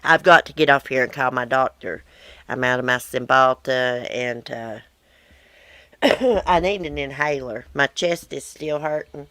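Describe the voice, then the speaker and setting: A woman's voice: a bass voice, a little slow, a bit bright, no room sound. A woman hosting a casual live chat from her porch, reading viewer comments in a blunt, rambling way, sounding wheezy. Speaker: livestream host. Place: outdoor porch.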